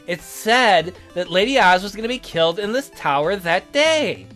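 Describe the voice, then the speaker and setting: A man's voice: sits high, expressive, normal speed, voice pushed down. A sardonic castle magician acted by a man in a visual novel game, speaking in a raised voice. He sounds haughty and condescending, laying things out with scorn over background music. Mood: scornful explaining.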